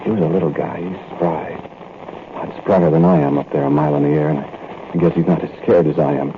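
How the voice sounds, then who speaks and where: An actor playing a nervous thief who narrates a night break-in in first person, in a 1940s radio drama. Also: male voice, rumbling low, steady tone, a little fast. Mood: uneasy. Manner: tense, confiding first-person storytelling. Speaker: narrator and lead character. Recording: tinny, noisy old radio recording.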